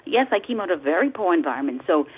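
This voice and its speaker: A woman's voice: lowish voice, sing-song, rapid-fire, breathy edge. A woman from the Bahamas, a guest author on a talk radio interview, speaking earnestly and repeating words for emphasis like a preacher. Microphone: phone call. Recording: tinny phone line.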